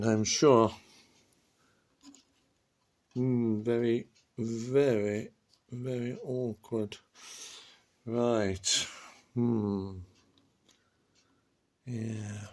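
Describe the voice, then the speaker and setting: A man's voice: mid-range voice, steady tone, extremely slow, pauses often, darkish tone. A man giving a casual, unscripted how-to for a video, hesitant and muttering to himself as he fiddles with small parts. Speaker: amateur video maker demonstrating a stylus replacement.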